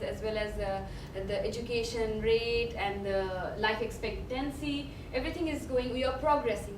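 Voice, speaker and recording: A young woman from Bangladesh, an activist speaking on a panel, hesitant with many fillers and restarts. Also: on the high side. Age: young adult. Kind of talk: panel talk. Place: event hall. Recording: echoey room, from far off, noisy.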